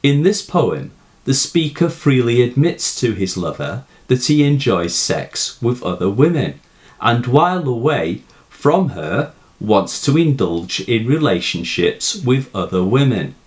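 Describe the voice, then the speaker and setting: A man presenting a scripted literary analysis and reciting verse as a teacher, with measured, deliberate phrasing and dramatic emphasis. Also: mid-range voice, very animated.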